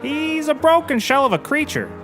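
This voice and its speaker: A man's voice: very high-pitched, very animated, moderate pace, over music. A man playing a hapless, scatterbrained private eye in a scripted audio comedy, rambling earnestly and cheerfully oblivious. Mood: eager, rambling.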